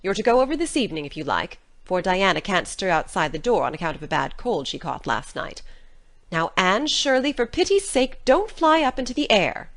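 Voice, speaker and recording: A woman performs a no-nonsense middle-aged country guardian in a dramatic reading. Her voice sits fairly low but moves in very animated swoops. She is dry, brusque and scolding, yet caring. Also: fast, hardly any pauses. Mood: matter-of-fact.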